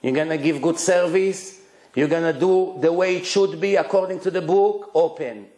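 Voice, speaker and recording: Blunt Israeli rabbi lecturing in echoey room. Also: male voice, fairly high pitch, normal speed, a little mellow. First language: Hebrew. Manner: blunt, emphatic, short punchy phrases, rhetorical questions. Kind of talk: lively religious lecture to an audience.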